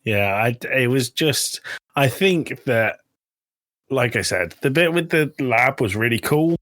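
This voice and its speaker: A man's voice: mid-range voice, animated, a little slow, fairly bright tone, dry recording, studio quality. A man co-hosting a casual film podcast, blunt and sweary in his opinions. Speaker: podcast co-host.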